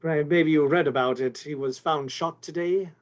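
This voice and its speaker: A man acts out an anxious department store executive for a radio mystery. His voice is fairly high for a man, and he speaks in stiff, formal phrases broken by fussy hesitations. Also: fairly even intonation, moderate pace, close to an everyday voice. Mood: worried, guarded. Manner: formal, hesitant, stammering politely. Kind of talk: 1950s radio detective play acting.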